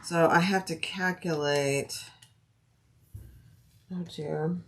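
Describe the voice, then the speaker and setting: A woman's voice: deep voice, steady tone, slow. A woman with a soothing voice teaching a sewing tutorial on a live stream, thinking aloud as she works and chatting with viewers. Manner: talks to live viewers by name. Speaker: sewing instructor. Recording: audible background noise.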